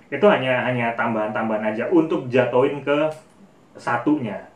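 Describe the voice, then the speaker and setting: A man's voice: medium pitch, fairly even intonation, moderate pace, lo-fi sound. A man giving a casual, informal piano tutorial as a teacher who demonstrates as he talks. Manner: informal, demonstrative, often counting out notes. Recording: roomy, distant mic, loud piano music.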